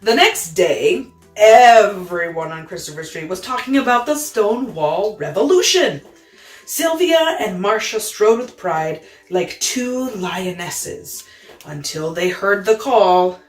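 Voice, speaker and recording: A woman reading a children's picture book aloud in an expressive, dramatic storytime style, pausing to explain the pictures. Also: fairly low pitch.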